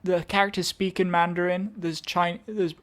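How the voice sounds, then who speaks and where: A young man with an English accent talks loosely to his listeners between tracks. His voice sits a little on the high side, and he keeps correcting himself mid-sentence with dry, self-mocking asides. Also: little pitch movement, normal speed, fairly bright tone. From England. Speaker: podcast host. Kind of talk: casual solo chat introducing songs.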